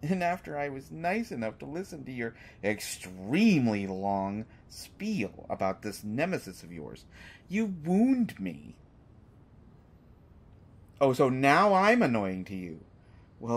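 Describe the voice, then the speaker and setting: A man acts out a cheeky, unafraid male hostage in a superhero audio roleplay. His mid-pitched voice swoops up and down, dripping with sarcasm and teasing, exaggerated mock reactions. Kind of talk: scripted roleplay audio drama monologue.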